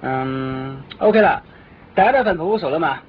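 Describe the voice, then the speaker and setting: Male actor as brisk office presenter, Hong Kong Cantonese, radio play, muffled slightly noisy recording. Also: a little high, animated, close to an everyday voice. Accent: Hong Kong Cantonese with English words. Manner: chatty, seeks agreement with tag questions. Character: brisk, eager-to-please office manager presenting a proposal. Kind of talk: 1990s radio drama acting.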